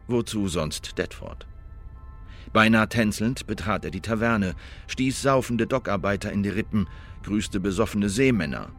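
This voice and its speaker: An actor playing a male eyewitness who recounts a killing in a formal, measured, insistent manner in a scripted radio play. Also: fairly low pitch. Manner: formal, measured, precise testimony. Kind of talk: scripted first-person radio drama narration.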